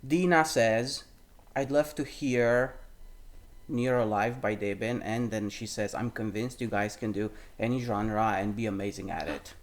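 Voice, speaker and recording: A man's voice: on the low side, fairly even intonation, a little slow, background noise. A man from Romania chatting on a casual podcast, playful and teasing with his bandmates. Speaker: violist, podcast co-host.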